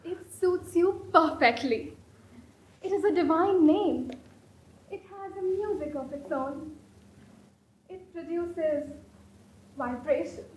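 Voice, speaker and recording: A woman's voice: very high-pitched, slow pace, long pauses, dull audio. A woman playing an imperious, fanciful young society lady in a stage comedy of manners, declaiming with affected, grand emphasis. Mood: haughty, rapturous. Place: indoor stage performance. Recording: roomy stage recording with faint noise.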